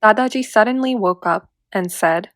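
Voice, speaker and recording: A woman's voice: mid-range voice, normal speed, a bit bright, dry recording, clean studio sound. A woman reading a scripted children's story as its narrator.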